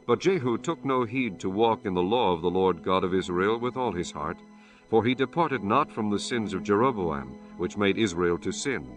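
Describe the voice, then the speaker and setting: Low-voiced man narrating scripture solemnly. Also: lively intonation, soft background music. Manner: measured, formal. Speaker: audiobook narrator.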